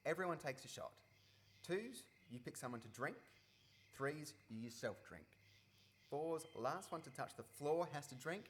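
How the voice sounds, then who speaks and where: An actor playing an easygoing young man in a radio play, talking casually with halting explanations, recorded live. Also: fairly high pitch, animated. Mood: patient, explaining. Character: easygoing young man, former farm boy, patient game explainer. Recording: live recording with clear background noise.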